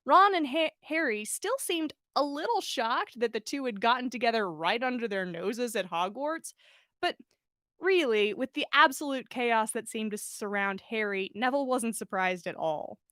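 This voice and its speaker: A woman reading fan fiction aloud as expressive story narration, animated, with the odd stumble and self-correction. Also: fairly high pitch, moderate pace, no echo, clean studio sound. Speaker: podcast host reading listener fan fiction.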